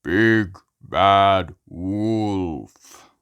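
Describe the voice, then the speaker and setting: A woman's voice: a bass voice, drawn-out words, smooth, lo-fi sound, heavily acted. A woman voicing a jolly, bumbling father pig in a children's storybook, with a hearty manner. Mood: jovial. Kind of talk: read-aloud children's storybook voice.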